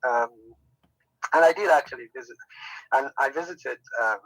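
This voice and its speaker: Middle-aged man, from Zambia, guest academic, relaxed unscripted talk with fillers, dry recording. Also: mid-range voice, normal speed, no room sound, rough recording. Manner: friendly, informal, with frequent fillers and restarts. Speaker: guest speaker, university department head. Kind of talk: unscripted presentation.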